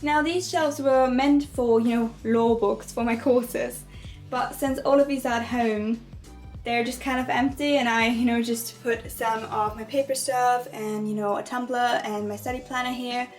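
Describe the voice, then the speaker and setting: A woman's voice: a little high, fairly even intonation, measured pace, soft background music. Chatty young woman touring her dorm room. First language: German. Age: young adult. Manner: chatty, repeats words for emphasis ("very, very"). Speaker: vlogger giving a dorm room tour. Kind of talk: casual tour narration.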